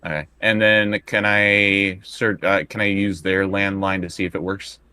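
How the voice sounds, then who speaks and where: Low, bright-voiced man playing a tabletop game. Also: flat delivery, a little slow, clear, resonant tone, dry recording. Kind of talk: casual improvised game play.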